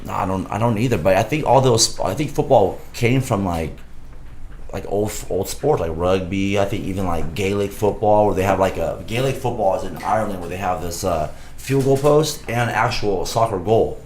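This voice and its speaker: A man in casual conversation, a former goalkeeper from California, telling relaxed, rambling stories with frequent laughter. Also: sits low, normal speed, a little hiss or hum. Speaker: guest, former soccer goalkeeper. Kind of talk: casual chat.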